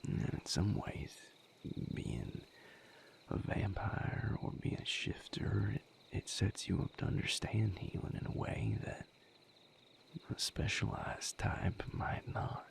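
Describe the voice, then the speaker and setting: A man playing a gentle, patient vampire healer in an intimate audio roleplay, speaking softly and reassuringly in breathy vocal fry with long pauses. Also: on the low side, expressive, slight background noise, full character voice. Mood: calm, reflective. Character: gentle, patient male vampire healer mentoring his mate.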